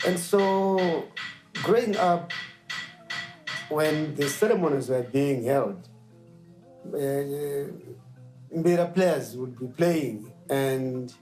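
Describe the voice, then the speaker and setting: A middle-aged man speaks in an unhurried, reflective way, pausing often and filling gaps with soft hesitations. His voice is mellow and warm, and faint mbira music plays quietly behind him. He tells his story as a musician and instrument maker in a documentary interview. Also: mid-range voice. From Zimbabwe. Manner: slow and reflective, with pauses and fillers.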